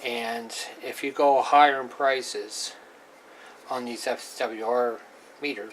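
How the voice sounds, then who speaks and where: Male hobbyist presenter, unhurried and plain-spoken, casual hands-on demo over clearly audible noise. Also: mid-range voice, fairly even intonation. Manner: plain-spoken, halting, repeats himself. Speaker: hobbyist presenter demonstrating radio equipment. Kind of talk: casual unscripted hands-on demonstration. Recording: clearly noisy amateur recording.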